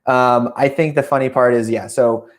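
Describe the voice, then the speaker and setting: An American man talks through a solo travel podcast at an ordinary middle pitch. He rambles loosely, leans on lots of ums and uhs, and stops to correct himself mid-sentence. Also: steady tone, moderate pace. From United States. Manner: rambling, filler-heavy, self-correcting. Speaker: podcast host. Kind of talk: casual solo podcast monologue.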